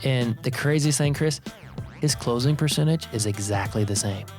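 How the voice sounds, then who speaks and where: A man's voice: medium pitch, normal speed, music in the background. A man co-hosting a podcast in casual chat, explaining ideas step by step and teasing his co-host. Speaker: podcast co-host, insurance agency leader.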